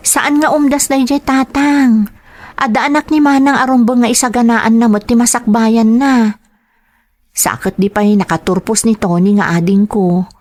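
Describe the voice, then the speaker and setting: A woman's voice: on the high side, fairly even intonation, moderate pace, darkish tone, no echo, natural voice. A woman playing an earnest, dutiful young woman in an audio drama, with a Filipino accent. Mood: earnest, worried.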